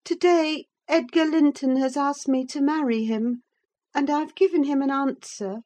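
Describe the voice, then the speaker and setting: A woman's voice: sits high, unhurried, warm tone, pitched above the performer's own voice. A woman voicing a headstrong, anxious young upper-class woman in a dramatised reading of a classic novel, impulsive and insistent. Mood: urgent, confiding.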